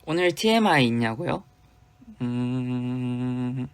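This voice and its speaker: A man's voice: medium pitch, animated, slow pace, a little hiss or hum. A young man from Busan with a light Busan accent, chatting casually with fans on a livestream and answering their comments. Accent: Busan Gyeongsang Korean, light. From Busan, South Korea. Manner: chatty, loose and playful, with sudden drops to quiet murmurs. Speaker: idol hosting a fan livestream.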